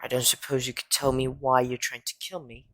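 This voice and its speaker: A woman's voice: rumbling low, little pitch movement, brisk, a bit bright. A woman playing an indignant, direct schoolboy wizard in an audio drama. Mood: irritated, wry. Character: twelve-year-old schoolboy wizard, indignant. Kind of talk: fan audio drama acting.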